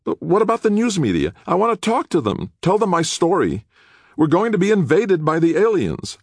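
An indignant, anxious accused man played by a male narrator in an audiobook. His voice is pushed well up in pitch and swings widely as he protests and pleads. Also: huge pitch swings, moderate pace, vocal fry, slightly rough, no echo, studio quality, full character voice. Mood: agitated. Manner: agitated, protesting.

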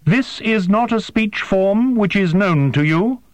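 A formal female alien interpreter from an audiobook, her pitch lifted high above a plain speaking voice, as a male narrator puts her on. She chooses her words with stiff precision and an unhurried pace. The reading is dry and close. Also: animated, no room sound. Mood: inquiring. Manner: stiff, overly formal phrasing.

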